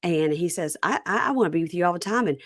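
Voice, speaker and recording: A woman with a mild Southern accent from Mississippi talks in a lower-pitched, chatty way, drifting from one aside to the next. Her voice is recorded close and dry, with no room around it. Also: fast, no echo. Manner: chatty and animated, jumping between tangents. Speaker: podcast host. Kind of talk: casual reality TV recap chat.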